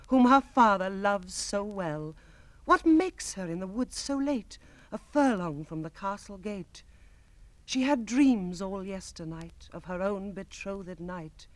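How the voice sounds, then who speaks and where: Woman reading spooky old verse slowly, lowish voice, very theatrical, dry close recording. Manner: theatrical, storytelling, swinging from hushed to ringing. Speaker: poetry reader. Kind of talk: dramatic recitation of gothic narrative verse. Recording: close, dry 1960s spoken-word record.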